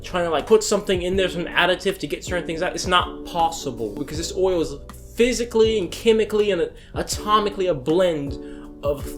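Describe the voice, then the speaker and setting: Brash, excitable man with a higher voice. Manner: excitable and brash, swears freely, jumps between loud and soft. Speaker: reaction video host. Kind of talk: casual reaction commentary.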